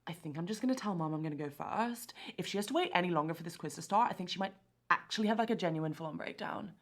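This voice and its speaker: A woman's voice: lowish voice, expressive, fast, close to an everyday voice. An actress playing a bubbly, self-absorbed teenage girl who hosts a family quiz and talks in casual, gossipy slang. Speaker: quiz host in the story. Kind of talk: naturalistic screen drama dialogue.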